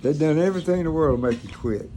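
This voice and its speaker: A man's voice: on the high side, animated, very fast, mellow. An older man, telling stories informally in a folksy, plain-spoken, rambling way, like a grandfather recalling his service. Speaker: grandfather recounting his military past. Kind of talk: informal reminiscing, storytelling. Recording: noisy room, clear background noise.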